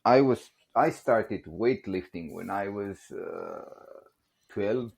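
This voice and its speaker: A man's voice: on the low side, slow, creaky, a little mellow, breathy edge. A man in his forties from Greece with a Greek accent, chatting as a podcast guest, joking and laughing a lot and saying "you know" often. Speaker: guest, barbell company production manager. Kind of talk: casual podcast storytelling.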